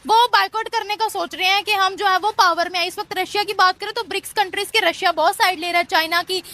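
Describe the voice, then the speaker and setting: A woman's voice: extremely high pitch, steady tone, fast talker, pushed and bright. A woman with a Pakistani accent doing political street interviews, asking long, probing, leading questions. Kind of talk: vox pop political interview.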